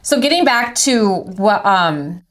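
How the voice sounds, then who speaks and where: A woman with a mid-pitched voice, speaking as a podcast host. She interviews in an informal, conversational style. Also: sing-song, slow pace, fairly bright tone.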